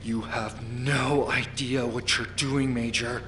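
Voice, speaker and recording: An actor playing a defiant, embattled military commander in a sci-fi drama, speaking tersely. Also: male voice, medium pitch, little pitch movement, takes it slowly, a little hiss or hum. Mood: outraged. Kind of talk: cinematic sci-fi drama acting. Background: very loud music.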